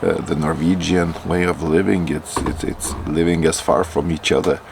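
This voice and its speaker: A man's voice: sits low, flat delivery, normal speed, vocal fry, background noise. Man vlogging, chatty with fillers. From Lithuania. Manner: rambling, many fillers and restarts. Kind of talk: casual vlog talk. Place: outdoors in winter, later indoors.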